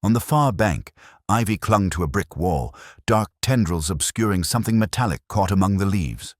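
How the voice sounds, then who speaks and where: A man reading a scripted first-person detective story in a measured, brooding, literary manner. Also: sits low, animated, a little mellow, no room sound, studio quality. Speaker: narrator.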